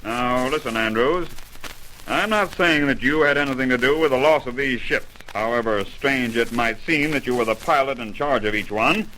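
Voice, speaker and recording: A man's voice: mid-range voice, normal speed, bright, forceful tone, natural voice. A man playing a domineering, brusque airline general manager in 1930s-style radio drama acting. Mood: suspicious. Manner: brusque, demanding, blustering. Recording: noisy old radio recording.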